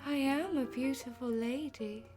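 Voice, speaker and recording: Radio drama sweet young Victorian lady: high voice, drowsy, unhurried, music behind. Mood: dreamy. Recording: loud music under the voice.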